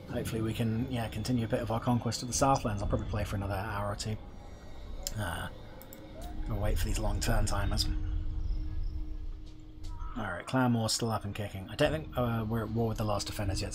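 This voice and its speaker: A hoarse man, thinking aloud as he plays through a strategy game, hesitant and full of ums. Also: fairly low pitch, fairly even intonation, fairly quick, pauses often. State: hoarse from being unwell. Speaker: gameplay commentator. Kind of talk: casual let's-play commentary. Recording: music playing behind the voice.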